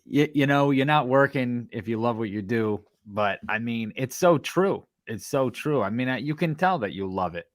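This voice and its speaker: A man's voice: mid-range voice, animated, normal speed, few pauses, no echo, clean studio sound. A man co-hosting a podcast, chatting in a laid-back way and swearing casually. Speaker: podcast co-host.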